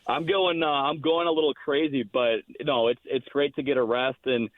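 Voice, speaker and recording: A man's voice: mid-range voice, steady tone, moderate pace, dry recording, phone-quality sound. A man who works as a hockey radio announcer, chatting as an interview guest in an enthusiastic, self-deprecating, joking way. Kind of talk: casual radio interview chat.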